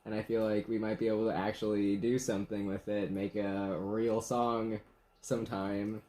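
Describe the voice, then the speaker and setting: A relaxed young man joking and chuckling through a casual get-to-know-you chat in a small bedroom. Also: on the low side, moderate pace. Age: young adult. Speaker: channel co-host.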